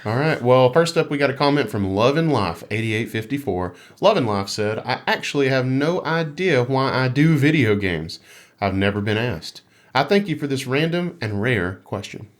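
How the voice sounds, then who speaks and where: A man's voice: medium pitch, animated, moderate pace. A man co-hosting a casual gaming podcast, joking playfully and reading out listener comments. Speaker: podcast co-host.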